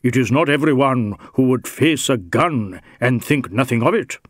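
A man's voice: medium pitch, animated, normal speed, no room sound, clean studio sound, natural voice. A man voicing a big, brawny Sikh rogue in an audiobook, sly and teasing, with wheedling mock deference. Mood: slyly menacing. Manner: wheedling mock deference, Indian English phrasing. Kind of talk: audiobook character dialogue.

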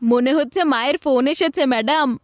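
A woman's voice: high voice, a little fast, a little hiss or hum, close to an everyday voice. A woman voicing a cheeky, taunting small boy, the clever little brother in a cartoon dub. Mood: curious, frustrated. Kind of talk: cartoon dubbing.

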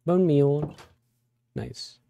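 A young man with a raspy voice from a cold, rambling casually with many fillers as he plays a game. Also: a little high, very slow, warm tone. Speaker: let's play host. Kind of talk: casual gameplay commentary.

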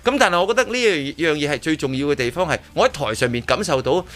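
A man's voice: on the high side, expressive, quick pace, fairly bright tone. A man with a Hong Kong Cantonese accent hosting casual radio chat, opinionated and emphatic, often repeating words. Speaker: radio show host.

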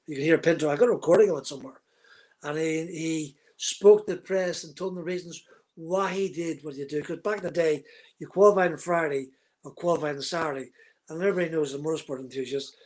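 Husky man telling a long story. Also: fairly high pitch. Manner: vivid, detailed storyteller, talks with his hands. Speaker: guest, former racing driver. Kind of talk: live video-call interview, storytelling. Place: room at home, indoors. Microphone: webcam on a video call.

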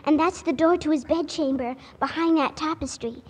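Woman playing a little girl, very high voice, earnest, formal and polite, old radio drama, muffled hall echo. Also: normal speed, lightly acted. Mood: polite, proud. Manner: polite, precise, formal phrasing. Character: seven-year-old girl, earnest, well-mannered young noblewoman of a castle. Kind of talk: 1940s radio acting.

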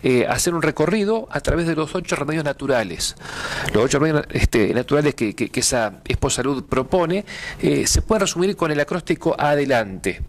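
A man's voice: on the high side, expressive, a little fast. A middle-aged man with an Argentine accent, a pastor being interviewed in a TV studio, speaking hesitantly with many fillers and repeated words. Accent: Argentine Spanish. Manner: gestures with hands while explaining. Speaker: pastor and event organizer. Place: TV studio with dark curtains.

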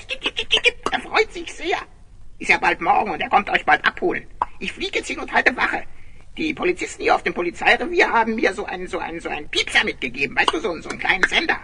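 An actor voicing a cheeky, talkative little bird in a children's audio play, squeaky and breaking into chirps. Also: sharp tone. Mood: eager. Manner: chatty, breaks into chirps mid-sentence. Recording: noisy old vinyl audio play.

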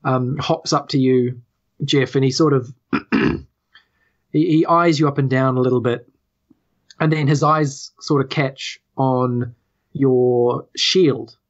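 A man's voice: medium pitch, fairly even intonation, takes it slowly, lo-fi sound. A man with a New Zealand accent improvising narration as game master of a tabletop game.

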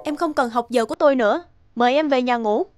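A woman playing a strict, cutting schoolteacher in an animated drama, curt and formal as she reprimands. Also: high voice, fast, no echo, soft background music, natural voice. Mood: stern, cold.

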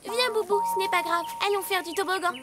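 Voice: steady tone, fast talker. A performer voicing a playful, sweet-natured young donkey in a cartoon, with a childlike voice. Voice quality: very high, childlike. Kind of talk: cartoon voice acting. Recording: music behind the voice.

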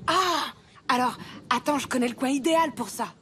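A woman's voice: high voice, normal speed, music in the background, lightly acted. A woman voicing a cheeky, self-assured country schoolboy in a cartoon, casual and teasing. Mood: confident. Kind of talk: French cartoon dub acting.

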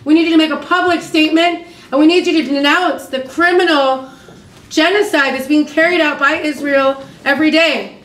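Female activist spokesperson, high voice, firm and insistent, echoey room with faint noise. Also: fairly even intonation, moderate pace, fairly bright tone. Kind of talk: confronting a politician, protest demands. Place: indoor office and conference room, camera mic. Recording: roomy, faint background noise.

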